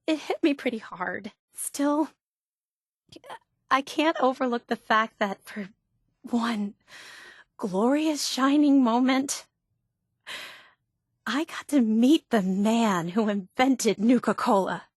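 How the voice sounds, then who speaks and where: A woman's voice: on the high side, slow pace, long pauses, dry recording, studio quality. A woman voicing a bubbly, obsessive young female superfan in a video game, earnest and gushing. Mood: wistful, moved. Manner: gushing, earnest, wide-eyed enthusiasm. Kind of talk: video game character voice acting.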